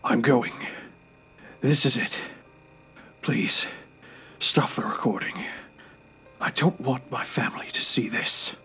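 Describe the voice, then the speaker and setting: A man playing a bitter, regretful, dying old grandfather in an audio drama, with a husky, breathy voice and halting delivery. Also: mid-range voice, fairly bright tone, heavily acted. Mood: failing, pleading. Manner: slow, halting. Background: music.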